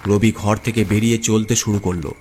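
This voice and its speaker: A man's voice: on the low side, fairly even intonation, brisk, a little mellow, faint music behind. A man reading a scripted horror story as its narrator.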